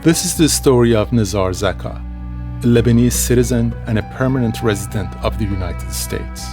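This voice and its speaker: A man's voice: medium pitch, moderate pace, music in the background. A man reading scripted narration for a documentary as its narrator.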